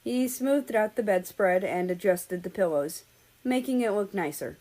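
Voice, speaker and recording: A woman's voice: medium pitch, lively intonation, normal speed, dark tone. A woman reading a story aloud as a scripted narration, in the role of an audiobook-style reader.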